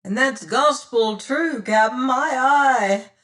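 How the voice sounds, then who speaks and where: A reader voicing frightened rough male pirates who clamor for orders. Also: high voice, slow, a bit bright, far from the mic, pitched above the performer's own voice. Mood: panicky. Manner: panicky, clamoring.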